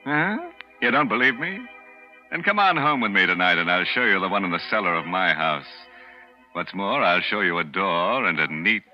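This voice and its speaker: An actor playing a ghoulish, teasing horror host on a 1940s radio show, with campy, sardonic menace. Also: male voice, low voice, animated, moderate pace. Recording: dull vintage radio broadcast with music.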